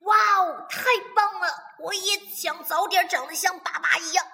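A tiny, eager baby ankylosaurus, as a woman plays him, calling out in a very high, childlike voice. The tone is bright and clear. A long reverb and faint music surround the voice. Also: moderate pace, full character voice. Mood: excited. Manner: childlike, excited exclamations. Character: small baby ankylosaurus boy, sweet and eager. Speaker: voice actor.

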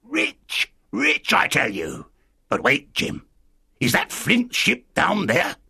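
A man voicing a half-crazy marooned old sailor in a storybook, with a strange, excitable, eager and wheedling way of talking. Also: fairly high pitch, vocal fry, slightly rough, no room sound, studio quality, voice pushed up, full character voice. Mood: excited, secretive. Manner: strange-voiced, excitable, drawn-out emphatic phrases. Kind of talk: dramatised storybook reading.

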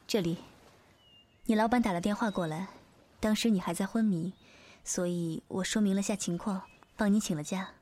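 A woman's voice: mid-range voice, steady tone, normal speed, darkish tone, close to an everyday voice. A woman acting a courteous, composed and apologetic young woman in a Chinese audio drama. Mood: apologetic, soft.

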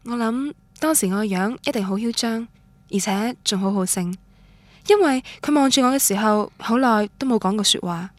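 A woman's voice: on the high side, moderate pace, no echo, natural voice. An actress with a Cantonese accent playing a romantic, stubborn young woman who tells her love story in a radio drama. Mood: tender, longing. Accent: Cantonese, Guangdong. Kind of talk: radio drama narration and dialogue, introspective first-person.

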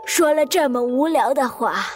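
A woman's voice: high voice, expressive, moderate pace, soft background music. An actress playing a courteous, apologetic woman in an audio drama, speaking politely and with great deliberation. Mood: apologetic, reserved.

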